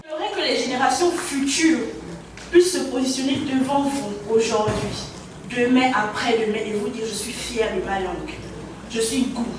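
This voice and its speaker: A woman's voice: on the high side, normal speed. A woman giving an earnest, proud speech in a speaking contest. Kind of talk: declamatory speech. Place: museum hall. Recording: echoey hall, distant mic, background noise.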